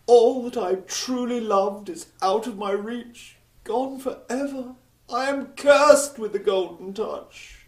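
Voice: medium pitch, takes it slowly, a little mellow, lightly acted. A performer playing an emotional old king who talks theatrically, with exclamations and wails, in a storybook tale. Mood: anguished. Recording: roomy echo.

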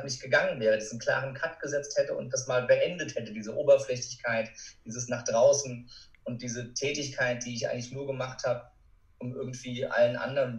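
Man speaking earnestly in a roomy space. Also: mid-range voice. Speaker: interview guest, motivational speaker and coach. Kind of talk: reflective personal account in a podcast interview. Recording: roomy, echoing sound.

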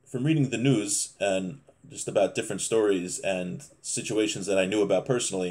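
A man's voice: medium pitch, darkish tone. A man in his thirties with an American accent, giving an informal Torah lesson in a conversational, explanatory way, reading and commenting as he goes. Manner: conversational, explanatory, frequent check-in tags. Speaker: religious teacher giving a class. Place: small home room, indoors.

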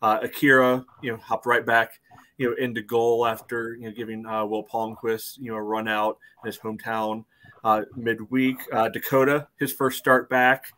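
Male podcast host, middle-aged, mid-pitched voice, loose rambling sports chat over a video call. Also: flat delivery, normal speed, fairly bright tone. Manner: rambling, frequent "you know". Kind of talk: casual sports discussion. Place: indoor room at home.

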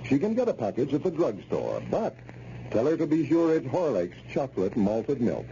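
An American man announcing in polished 1930s radio style, reading a sponsor's message with a measured sales delivery. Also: medium pitch, huge pitch swings, dark tone. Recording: dull, noisy old radio recording.

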